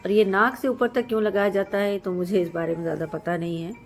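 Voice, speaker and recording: A hoarse woman in her thirties from central India, narrating a vlog outdoors on a rooftop and explaining the customs with devotion. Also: mid-range voice, flat delivery, fast, soft background music. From Madhya Pradesh, India. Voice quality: husky. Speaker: vlogger.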